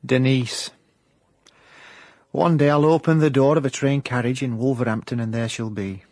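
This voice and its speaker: An actor playing a hapless, sentimental Yorkshire private investigator in a comic radio drama, hesitant and a little self-important. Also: male voice, medium pitch, fairly even intonation, normal speed, darkish tone, no room sound, studio quality, close to an everyday voice. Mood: wistful, glum.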